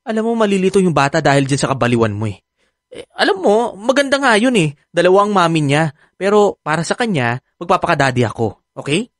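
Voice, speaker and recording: Sassy, animated Filipino co-parent played by an actress. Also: female voice, lowish voice, quick pace, dry recording, clean studio sound. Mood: matter-of-fact, caring. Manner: sassy, scolding, dismissive. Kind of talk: Filipino audio drama acting.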